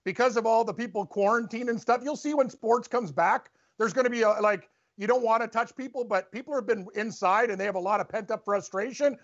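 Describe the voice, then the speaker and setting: A man co-hosting a casual sports talk show, rambling and joking in animated asides. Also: extremely high pitch, brisk, hardly any pauses. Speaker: sports podcast co-host. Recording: dull lo-fi sound.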